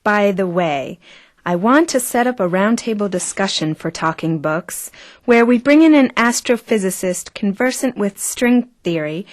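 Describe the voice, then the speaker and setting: A woman's voice: medium pitch, dry recording, lightly acted. Arrogant female clone for audio drama, played by an actress: seductive, condescending, verbose, dry close sound. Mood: coy, self-assured.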